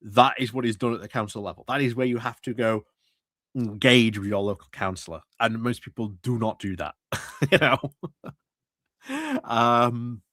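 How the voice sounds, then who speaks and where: A man's voice: medium pitch, lively intonation, a little slow, fairly bright tone, no echo, studio quality. A man hosting a stream, giving rambling, sardonic commentary on local politics with frequent restarts and chuckles. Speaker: stream host commenting on council meetings.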